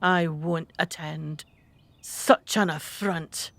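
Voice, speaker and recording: An imperious, bitter wife in a radio play. She is scornful and vengeful, with melodramatic delivery at a deliberate pace. The sound is dry and close. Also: sits low, steady tone, fairly bright tone, no echo, exaggerated voice. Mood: indignant, haughty. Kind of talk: radio drama acting.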